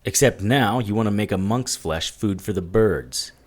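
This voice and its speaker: An actor playing a sardonic, skeptical investigator in an audio drama. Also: male voice, fairly low pitch, moderate pace, lightly acted.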